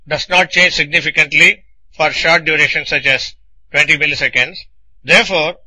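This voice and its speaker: A man narrating a scripted technical lecture in a deliberate, didactic manner, explaining things in short phrases. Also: a little high, sharp tone, husky, poor audio.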